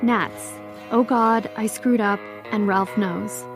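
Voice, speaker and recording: A woman's voice: medium pitch, fairly even intonation, slow pace. A woman playing an earnest interviewee, the loyal friend of a missing girl, speaking plainly. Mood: distressed. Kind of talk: interview in an audio drama. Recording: music clearly behind the voice. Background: music.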